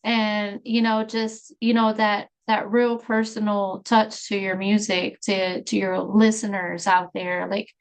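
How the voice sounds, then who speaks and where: A woman's voice: medium pitch, level tone, a little slow, few pauses, clear, resonant tone. A woman hosting a podcast, upbeat and encouraging as she talks with her guest. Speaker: podcast host. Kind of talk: casual interview chat.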